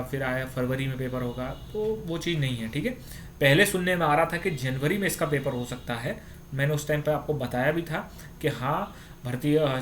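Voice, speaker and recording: Male explainer, slightly high register, conversational student-facing delivery, light background noise. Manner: conversational, repeats tag words to check understanding. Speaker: YouTube exam-update presenter. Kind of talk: informal explainer addressed to students.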